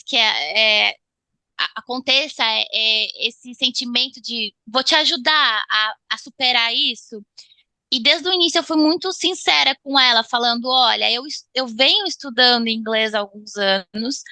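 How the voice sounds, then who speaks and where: Bright-voiced Brazilian woman with creaky edge. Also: on the high side, dry recording. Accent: Brazilian Portuguese. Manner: halting, repeats words as she searches for phrasing. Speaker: interview guest, English student. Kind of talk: casual interview conversation.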